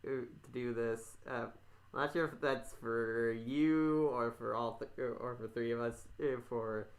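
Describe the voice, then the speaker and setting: Male podcast host, mid-pitched, casual and rambling, stop-start delivery with repeated phrases. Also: fairly even intonation, measured pace.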